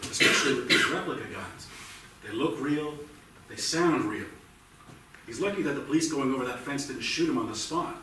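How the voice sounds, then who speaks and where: A man's voice: mid-range voice, expressive, moderate pace, close to an everyday voice. A man playing a blunt, matter-of-fact police detective in a staged play reading. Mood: blunt, official. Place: small theatre, staged reading. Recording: noisy, very echoey theatre from far off.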